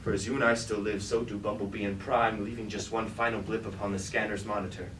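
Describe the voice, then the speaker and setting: Man playing a deep, grandiose robot warlord, distantly recorded. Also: flat delivery, quick pace, a bit bright, close to an everyday voice. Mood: solemn, resolved. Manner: declamatory, archaic formal speech. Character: fallen robot warlord, grandiose, commanding, brooding and resolute. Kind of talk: staged reading of mock Greek tragedy. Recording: roomy, distant mic, clear background noise.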